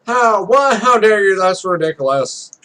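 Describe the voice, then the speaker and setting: Man reading as blunt young female gamer, visual novel dialogue, indignant and emphatic, on the high side. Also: expressive, unhurried, fairly bright tone. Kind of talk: amateur read-aloud of visual novel dialogue.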